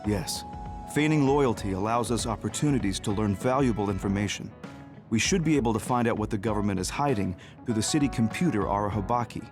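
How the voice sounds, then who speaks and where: Man playing a military commander, composed, formal, authoritative, measured, game cutscene, loud music behind. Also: fairly low pitch, natural voice. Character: composed, authoritative male military major general. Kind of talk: video game cutscene acting. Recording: background music under the voice.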